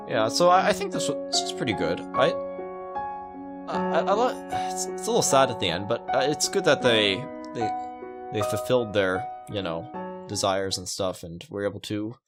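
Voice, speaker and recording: A man with a fairly low voice and very animated intonation gives casual commentary on a game. He reads on-screen text aloud and drifts into rambling asides, with music playing behind him. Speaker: video game let's player.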